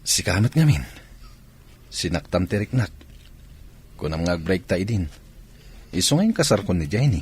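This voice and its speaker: Casting: man to play a shifty married lover, deep rough-edged husky voice, Ilocano Filipino accent, radio play. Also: very animated, normal speed, pauses often, darkish tone, exaggerated voice. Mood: reproachful. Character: evasive, defensive married man with mistress. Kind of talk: Filipino radio comedy-drama acting.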